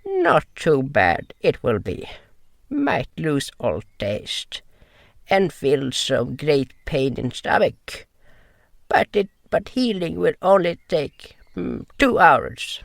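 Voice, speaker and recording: Gentle nonhuman healer, man performing, fantasy audio drama. Highish pitch that swings widely, broken halting grammar. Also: very animated, gravelly, no echo, clean studio sound, full character voice. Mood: firm, bargaining. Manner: broken grammar with dropped articles, halting and thoughtful. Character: gentle, wise nonhuman healer with water and blood magic. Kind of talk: first-person fantasy audio drama narration and dialogue.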